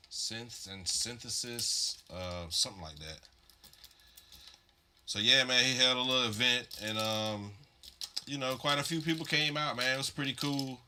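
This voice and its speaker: A man's voice: lowish voice, pushed and bright, slight background noise. A man from Virginia chatting casually on a live stream, rambling and slightly congested from a cold. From Virginia, USA. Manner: laid-back, rambling, many fillers and "man". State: congested, getting over a cold. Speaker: livestream host building modular synth patches.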